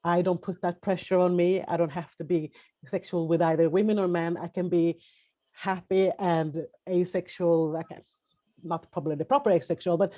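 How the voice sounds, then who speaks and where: A woman with a Swedish accent speaks over a video call in a low, mellow voice. She talks haltingly, with fillers and restarts as she hunts for English words. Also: fairly even intonation, lo-fi sound. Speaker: webinar panelist. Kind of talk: personal testimony on a webinar.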